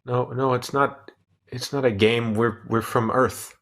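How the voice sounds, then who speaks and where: A man's voice: medium pitch, fairly even intonation, unhurried, fairly bright tone. A man playing a cautious, uneasy spaceship crewman in a comic radio play, stammering and hesitant. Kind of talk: cold-read comic radio sci-fi play.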